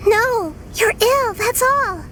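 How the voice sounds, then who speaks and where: A woman voicing a small, gentle, wise young goddess in a video game, speaking in an earnest, measured way. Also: very high voice, lively intonation, noisy background, exaggerated voice. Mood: earnest, pleading. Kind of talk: scripted video game dialogue. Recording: loud game music over the voice.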